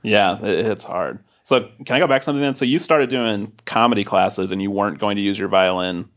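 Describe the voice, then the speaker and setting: A man co-hosts a comedy podcast in casual chat. His voice is low, and he jumps in with engaged follow-up questions. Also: fairly quick. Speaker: podcast co-host.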